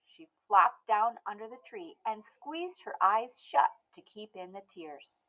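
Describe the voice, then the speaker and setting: A woman reading a children's picture book aloud as an expressive narrator. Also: mid-range voice, a little slow, rough recording. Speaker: storybook read-aloud host.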